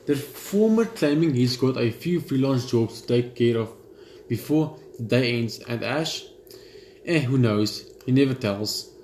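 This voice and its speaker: A man's voice: mid-range voice, measured pace, slight background noise. Man reading game text in a roomy room. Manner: steady narrating read with blunt side remarks. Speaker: gamer. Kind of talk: reading a visual novel aloud.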